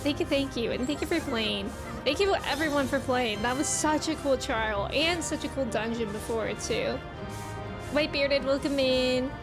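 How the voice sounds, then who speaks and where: A woman chatting casually and playfully as she livestreams a video game, reacting and greeting viewers. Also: sits high.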